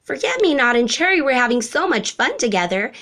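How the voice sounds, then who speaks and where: Female storybook narrator for young children, expressive and varied in pitch. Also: a little fast. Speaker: storybook reader. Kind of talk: expressive children's read-aloud.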